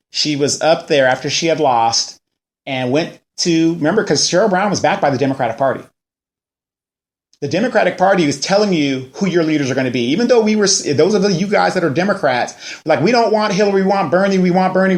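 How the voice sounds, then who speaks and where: A man in his forties hosting a local news show, ranting in a casual way and firing off rhetorical questions. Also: mid-range voice. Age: 40s. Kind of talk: casual political commentary.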